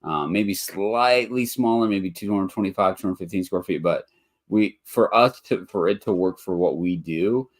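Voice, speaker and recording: Super deep guy doing a webinar, kinda stuffy nose, lots of ums. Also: normal speed. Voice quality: nasal. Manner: rambling, many ums and restarts. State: congested from allergies. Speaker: webinar host, motel investor. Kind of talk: casual live webinar presentation.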